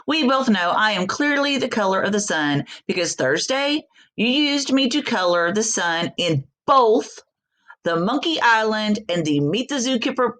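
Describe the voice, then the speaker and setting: A woman's voice: medium pitch, fairly bright tone. A woman voicing a smug, scornful crayon in a storybook, sneering and self-satisfied.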